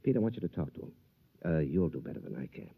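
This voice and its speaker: An actor playing a determined, fair-minded champion golfer in an old-time radio drama, speaking earnestly and thoughtfully. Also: male voice, a bass voice, big pitch swings, quick pace, vocal fry, breathy edge, faint music behind, heavily acted. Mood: thoughtful, resolute. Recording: tinny, narrow-band old radio recording.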